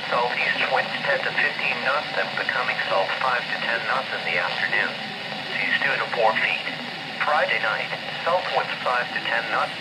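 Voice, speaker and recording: A computerized man's voice reads a scripted marine weather forecast as a radio announcer, in a monotone, clipped recitation. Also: medium pitch. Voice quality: synthetic computerized voice. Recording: very noisy static-filled weather radio broadcast.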